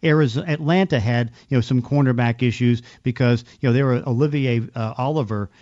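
A man with a medium-pitched voice talks through football analysis as a podcast host. He explains in a loose, conversational way, and the sound is dry and a little dull. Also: brisk, a little mellow, no room sound.

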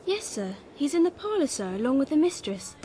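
High-voiced young housemaid. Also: female voice, very animated, fairly quick, warm tone, a little hiss or hum. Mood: polite, helpful. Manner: deferential. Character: polite, eager young housemaid. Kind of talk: radio drama acting. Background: background music.

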